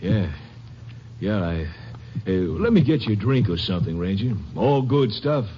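A man's voice: on the low side, very animated, moderate pace, a little hiss or hum. An actor playing a nervous, evasive gambler under questioning in a 1950s radio drama, stammering as he grows panicky. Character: nervous cornered male gambler suspect. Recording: muffled old radio broadcast.

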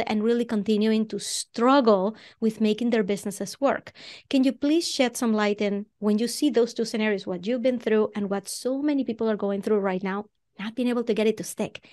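A woman from Venezuela with a Venezuelan Spanish accent, hosting a podcast and asking long, winding interview questions. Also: a little high. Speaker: podcast host. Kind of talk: conversational interview.

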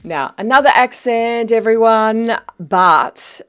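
A woman hosting a podcast interview, chatty and quick to laugh. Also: a little high, expressive, clear, resonant tone, soft background music. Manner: chatty, laughs often, warm-hearted banter. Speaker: podcast host.

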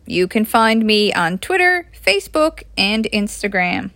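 A woman's voice: medium pitch, sing-song, a little slow, a bit bright, slight background noise. A woman from Canada, reading scripted story narration aloud. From Newfoundland, Canada. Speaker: author reading own chapter aloud.

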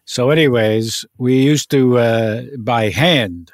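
Halting, rambling older accountant type, cranky and fussy, played by a man; dry close sound. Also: medium pitch, no echo, close to an everyday voice. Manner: rambling, halting, full of uh's. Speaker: improv performer. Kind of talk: improvised comedy scene.

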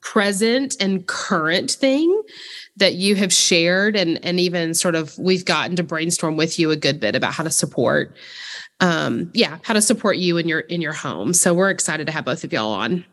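A woman co-hosts a podcast, speaking earnestly and thoughtfully, with frequent "um"s and "like"s. Her voice is a little low. A long reverb hangs on the sound. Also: expressive, normal speed, a bit bright. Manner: earnest, thoughtful, many fillers. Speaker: podcast co-host. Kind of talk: podcast conversation.

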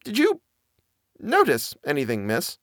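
Brief: male reader doing a jittery middle-aged housekeeper, high and halting, dry close sound. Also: huge pitch swings, fairly bright tone, dry recording, exaggerated voice. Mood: fearful. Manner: halting, stammering, plain servant speech. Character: frightened old nanny, a middle-aged housekeeper woman.

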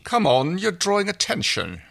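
A man's voice: high-pitched, huge pitch swings, moderate pace, creaky, a bit bright, pitched above the performer's own voice, exaggerated voice. A man voicing a dry, haughty woman traveler who teases her companion in a wry manner. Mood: wry, teasing.

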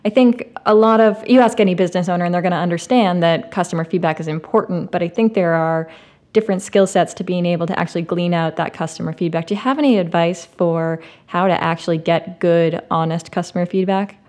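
Woman podcast host, lowish voice, chatty interview style, asking questions. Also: fairly quick, darkish tone. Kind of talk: conversational interview.

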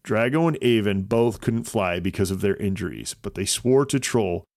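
A man's voice: fairly low pitch, animated, normal speed, darkish tone, no echo, studio quality. A man narrating a scripted children's story as a podcast host.

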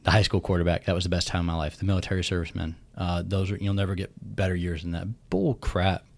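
A military veteran in his thirties speaks in a very low, deep voice, his words emphatic and often restarted as he gathers his thoughts. His voice sounds worn from a recent illness. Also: steady tone, fast, creaky. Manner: emphatic, repeats words while thinking. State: recovering from losing his voice. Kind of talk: casual podcast conversation.

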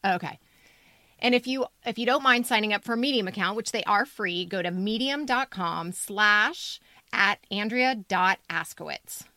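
A woman's voice: mid-range voice, normal speed, pushed and bright, no room sound, studio quality. A woman co-hosting a podcast, moving between casual chat and scripted announcements to listeners. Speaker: podcast co-host and editor. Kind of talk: casual co-host chat and scripted show outro.

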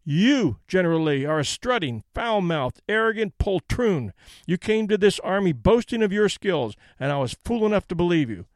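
A man's voice: high voice, sing-song, normal speed, no room sound. A man voicing a furious male general, commanding and outraged, who curses and roars indignantly. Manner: roaring, cursing, indignant.

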